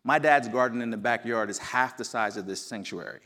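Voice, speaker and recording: A man's voice: mid-range voice, fairly quick, bright, forceful tone. A man in his forties with a South Jersey American accent, preaching a sermon in a conversational, folksy storytelling way. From New Jersey, United States. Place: church sanctuary.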